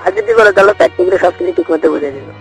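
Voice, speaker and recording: A man's voice: sits high, rapid-fire. A man with a Bangladeshi Bengali accent chatting casually and intimately on a recorded phone call. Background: music.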